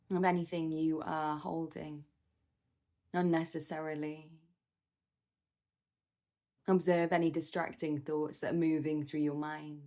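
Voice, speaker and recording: A woman leading a guided meditation in a soothing, gentle manner, with measured instructions and pauses. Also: deep voice.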